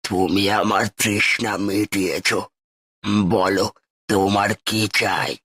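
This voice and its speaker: A frail old man from a cartoon tale, weary and gentle as he asks for water, as a male narrator puts him on. The voice is deep and slow, with a bright edge. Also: steady tone, husky, exaggerated voice.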